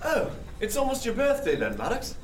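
A man's voice: very high voice, wildly swooping pitch, normal speed, exaggerated voice. A man playing a genial, loyal sailor with hearty old-fashioned nautical speech in a period audio drama. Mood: cheery, coaxing. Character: genial, loyal male sailor, fond of song. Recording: roomy, distant, faint noise.

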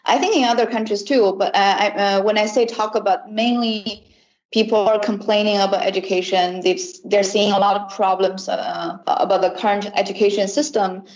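A woman with a Chinese accent answers interview questions in a mid-pitched voice. She speaks haltingly, often searching for the next word. The recording is a bit dull and lo-fi. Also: steady tone. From China. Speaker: education accelerator representative, interview guest.

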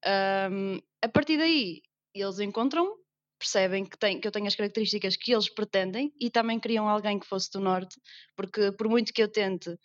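A woman's voice: mid-range voice, normal speed, dry recording, dull audio. A young woman with a northern Portuguese accent, a podcast guest who is an actress, chatting casually and laughing often. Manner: laughs often, animated storytelling.

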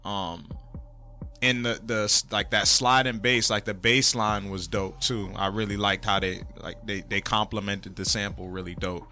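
A man co-hosting a podcast, giving a relaxed, thoughtful review of a beat in casual chat. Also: on the low side, normal speed, faint music behind. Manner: relaxed, thoughtful, filler-heavy. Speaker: podcast co-host.

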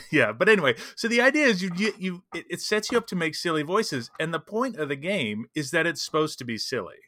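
A man's voice: on the high side, sing-song, brisk, bright, forceful tone, dry recording. A man co-hosting a podcast, chatting casually and emphatically about tabletop role-playing. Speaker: podcast co-host.

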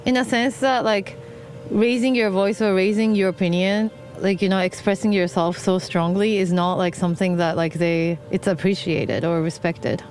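A woman's voice: mid-range voice, moderate pace, a little mellow, faint music behind. A woman from Japan with a Japanese accent, heavily pregnant, chatting hesitantly with many fillers as a guest in a hot tub. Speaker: guest, film composer. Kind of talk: casual conversation. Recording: faint background noise.

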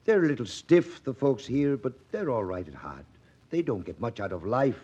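An actor playing a kindly, plain-spoken older mine official with a folksy, reassuring manner, in 1940s radio drama style. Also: male voice, mid-range voice, sing-song, moderate pace, warm tone, breathy edge. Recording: muffled old radio recording.

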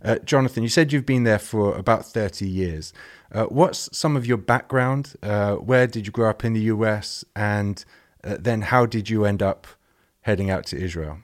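Low-voiced male podcast host, relaxed. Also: normal speed. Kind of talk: casual interview questions.

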